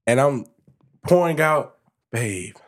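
A man co-hosting a casual podcast, talking at length in an ordinary mid-pitched voice. He builds his argument with lots of restarts and repeated words. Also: lively intonation, slow pace. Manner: talkative, explains at length with many restarts. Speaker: podcast co-host.

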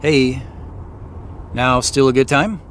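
A man's voice: mid-range voice, takes it slowly, slight background noise, lightly acted. An actor playing an introspective male scientist in a first-person audio drama, moving between narration and dialogue. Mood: casual, wry.

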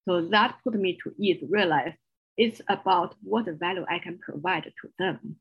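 A woman with a strong Chinese accent speaks with earnest, explanatory care, her non-native grammar showing. Her voice is somewhat husky. The audio sounds slightly dull. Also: fairly low pitch, normal speed. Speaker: guest, executive coach. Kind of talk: podcast interview.

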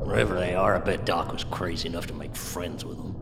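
Folksy male skeptic, low gravelly voice, forceful and animated, slow rambling delivery, audio drama. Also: very noisy. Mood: musing, grumbling. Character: folksy, skeptical working man, rambling and conspiratorial.

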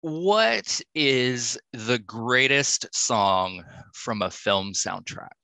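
A male podcast host with a very bright, forward voice in a dry, close recording. He takes his time, asking casual questions in a measured, encouraging way. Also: medium pitch, animated, creaky, clean tone, dry recording.

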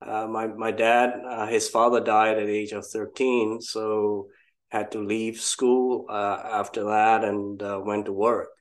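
A man's voice: fairly low pitch, level tone, a little slow. An older man from Malaysia, a guest entrepreneur telling his story in a casual interview, reflective and self-deprecating.

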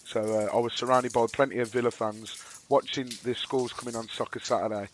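A hoarse man co-hosts a football podcast in a laid-back, self-deprecating way. His voice sits at an ordinary middle pitch. There is a faint hiss behind him. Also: fairly even intonation, fairly quick, poor audio. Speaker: podcast co-host. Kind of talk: casual football chat.

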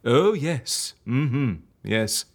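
A man playing a posh, sentimental and excitable agency boss in a comedy audio drama, with a theatrical, effusive manner. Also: mid-range voice, drawn-out words, heavily acted. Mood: lofty, enraptured.

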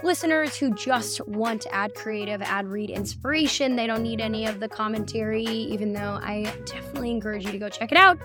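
Female podcast host, slightly high pitch, casual intro style over music. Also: a little fast, hardly any pauses. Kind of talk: casual scripted show introduction and sign-off.

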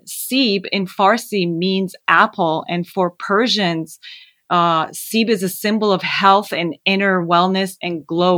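A woman's voice: lowish voice, fairly bright tone, no room sound. A woman born in Iran and raised in Canada, a guest telling her story in an earnest, hesitant way with many fillers. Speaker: guest, skincare founder. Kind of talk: casual interview conversation.

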